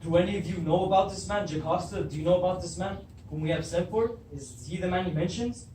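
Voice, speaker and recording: Man as a proud troubled king, echoey, fairly high. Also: fast, a little mellow, close to an everyday voice. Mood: insistent. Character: proud, troubled king demanding the truth of his birth. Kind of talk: beginning drama class readers theatre. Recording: echoey room, distant mic, faint noise.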